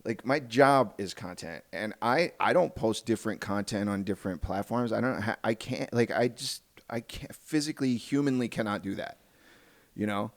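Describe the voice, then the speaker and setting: A man's voice: on the low side, moderate pace. A man hosting a podcast in a casual, rambling, sweary way. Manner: animated, rambling, profane. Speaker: podcast host. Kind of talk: casual chat.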